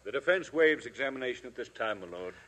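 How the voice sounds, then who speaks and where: An actor playing a brisk male defense counsel raising formal objections in a 1950s radio drama. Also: medium pitch, animated, fairly bright tone. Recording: radio broadcast, long hall reverb, faint audience.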